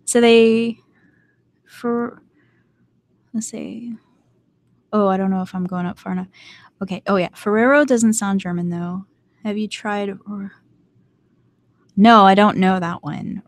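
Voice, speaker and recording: Chatty woman with a light Southern twang. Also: medium pitch. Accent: light Southern US, North Carolina. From Southern United States. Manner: rambling, self-deprecating, laughs often. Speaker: streamer hosting a live hangout. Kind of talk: casual chat with a live chat.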